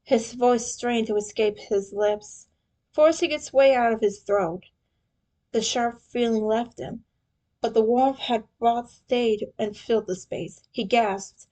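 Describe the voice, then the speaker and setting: A woman's voice: a little high, mellow. A woman reading scripted fan fiction aloud as the story's narrator.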